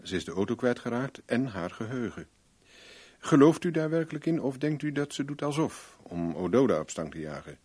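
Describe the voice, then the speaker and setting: An actor in a Dutch radio drama playing a wry, flirtatious private investigator with a glib, teasing manner. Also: male voice, mid-range voice, sing-song, moderate pace, a little mellow, breathy edge. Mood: probing. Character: wry, flirtatious, cool-headed male private investigator for hire.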